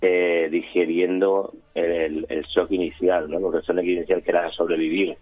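Man, Spain accent, low voice, dry phone-like line, casual radio guest, hesitant, repeats words. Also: flat delivery, moderate pace, soft background music. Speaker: community radio member, interviewee. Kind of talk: casual interview talk. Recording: roomy, phone-like remote line.

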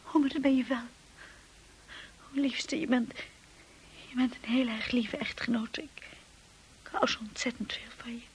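An actress playing a shy, insecure young wife in a radio drama, earnest and hesitant. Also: female voice, a little high, steady tone, moderate pace, pauses often, a little hiss or hum, close to an everyday voice, poor audio. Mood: tender. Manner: hesitant, breathy, apologetic.

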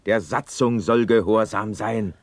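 An actor playing a blunt officer, a firm and urgent friend, in a classical German verse radio drama. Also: male voice, on the low side, a little slow, no room sound.

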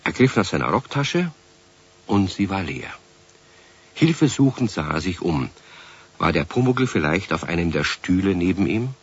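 A man narrating a children's radio play as its storyteller, with a husky voice and scripted delivery. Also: sits low, sing-song, moderate pace, slight background noise. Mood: concerned, storytelling. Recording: dull 1970s radio play recording.

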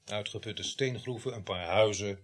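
An actor in a radio play as a middle-aged, grumbling veteran village police sergeant, speaking with dry, gruff sarcasm. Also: male voice, lowish voice, normal speed, fairly bright tone. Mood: dry, instructive. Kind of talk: radio drama acting.

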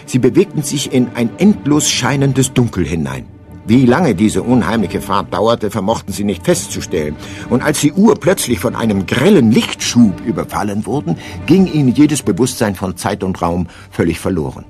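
Deep-voiced, expressive male radio play narrator. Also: moderate pace, soft background music.

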